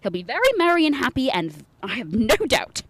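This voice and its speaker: A woman playing an indignant, sharp-tongued poor wife and mother in a radio play, emphatic and scornful. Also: a little high, sing-song, a little fast, no echo. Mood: grudging.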